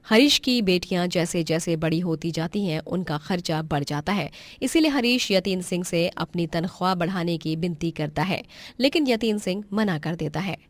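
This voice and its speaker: A woman's voice: lowish voice, lively intonation, moderate pace, few pauses, no echo. A woman giving scripted storytelling narration as the narrator of a tale.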